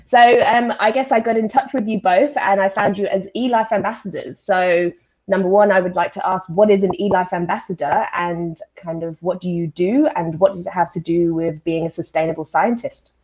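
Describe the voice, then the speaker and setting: A woman's voice: lowish voice, steady tone, moderate pace, a little mellow. A woman hosting an informal live online panel in a chatty, conversational way over a video call. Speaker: host, volunteer science event presenter. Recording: dull, lo-fi video call audio.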